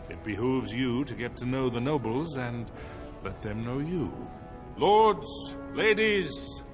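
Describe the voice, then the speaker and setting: A man's voice: mid-range voice, close to an everyday voice. An actor playing a proud, aging dwarf king in a fantasy game, with a formal, commanding way of speaking. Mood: fatherly pride. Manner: formal, commanding, grand oratory. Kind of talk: fantasy game cutscene acting. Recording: loud background music.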